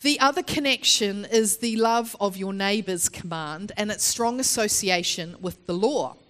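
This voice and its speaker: A woman preaching a sermon in the open air, sniffly from hay fever, earnest and explanatory with frequent pauses and restarts. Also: mid-range voice, a bit bright.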